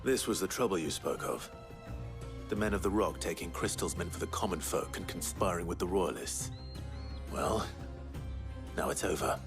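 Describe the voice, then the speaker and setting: A grim young swordsman of few words. His voice is very low and restrained, clipped, with a clear game score behind him. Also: moderate pace, pauses often, over music. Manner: terse, restrained. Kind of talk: video game cutscene acting.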